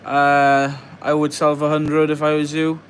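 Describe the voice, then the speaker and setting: A man's voice: on the high side, monotone, moderate pace, slight background noise. A young man from West Wales chatting casually on a live stream, reading out viewer questions and muttering to himself as he works at a bench. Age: young adult. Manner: chatty, reads viewer questions aloud and mutters while working. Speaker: livestreamer building a model farm. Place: indoor workbench.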